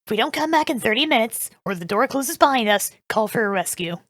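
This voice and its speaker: A woman's voice: on the high side, animated, quick pace, fairly bright tone, dry recording, studio quality. A woman playing a young, decisive but wary queen in a fan dub, earnest and polite when giving orders.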